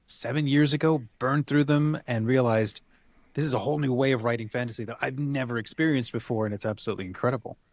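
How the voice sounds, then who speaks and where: Guy co-host chatting about books, mid pitch, really emphatic, super dry sound. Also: fast talker, no echo. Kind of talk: enthusiastic casual book chat.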